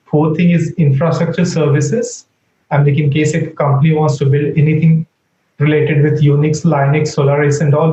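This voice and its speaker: A man on a video call speaks from an empty office and walks through a list of points, stopping often for fillers. His voice is mellow and a little high. The audio echoes and sounds dull, like a lo-fi call. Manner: lists points with many fillers. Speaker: panel guest. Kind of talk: casual company overview.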